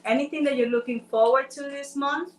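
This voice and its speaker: A woman's voice: sits high, fairly even intonation, a little fast, far from the mic, slight background noise. A woman from Ecuador with a Spanish accent, chatting playfully with viewers while co-hosting a live stream. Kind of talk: casual live-stream chat. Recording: roomy sound from away from the mic.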